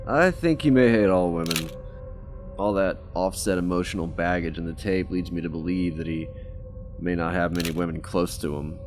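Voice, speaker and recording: A man playing a sarcastic deep-voiced detective. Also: moderate pace, soft background music, close to an everyday voice. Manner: sarcastic, crude remarks. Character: cynical male detective named Worst. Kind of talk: audio drama acting.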